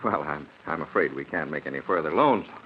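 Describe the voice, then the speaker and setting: An actor playing a formal, hesitant loan officer, regretful but unyielding, in an old radio drama. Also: male voice, rumbling low, lively intonation, quick pace. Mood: regretful, firm. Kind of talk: mid-century radio drama acting. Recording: muffled old radio recording, background hiss.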